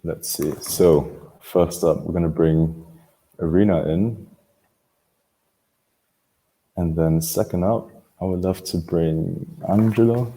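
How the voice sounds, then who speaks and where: A man's voice: deep voice, a little slow, warm tone, rough recording. A man hosting an online panel in a casual, informal way, speaking somewhat hesitantly on a video call. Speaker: host of an online panel webinar. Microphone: computer video call.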